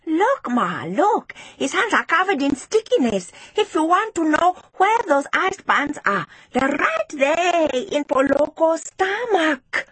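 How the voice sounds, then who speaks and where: Storybook girl accuser, voiced by a woman: high, shrill, bossy, breathy-edged and very animated. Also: slow pace, no echo, full character voice. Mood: shrill, accusing. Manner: demanding, accusatory.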